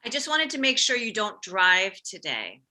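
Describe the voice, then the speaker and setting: Woman playing an anxious, piercingly bright overprotective mom. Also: mid-range voice, huge pitch swings, moderate pace, full character voice. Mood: worried. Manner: fretful, blurting. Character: anxious, overprotective suburban mother of a teenage boy. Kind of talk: comedy script table read.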